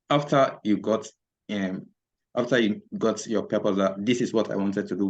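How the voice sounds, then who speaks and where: A man's voice: lowish voice, lo-fi sound. A man hosting a podcast interview, speaking hesitantly with many fillers and murmured affirmations. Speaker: podcast host.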